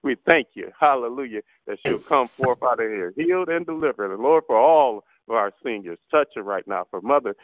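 A man's voice: medium pitch, expressive, a little fast, hardly any pauses, a little hiss or hum. Man praying fervently over a phone line. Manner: impassioned, repetitive, frequent hallelujahs. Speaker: prayer line leader. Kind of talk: fervent spoken prayer. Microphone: telephone. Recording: tinny phone line, dry.

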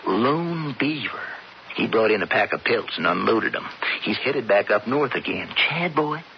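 An actor playing a scheming older brother turned stage robber in a radio western, with a folksy, persuasive American Western drawl. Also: male voice, fairly low pitch, expressive, unhurried. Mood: coaxing, confident. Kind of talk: radio western drama acting. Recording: muffled, noisy old radio broadcast.

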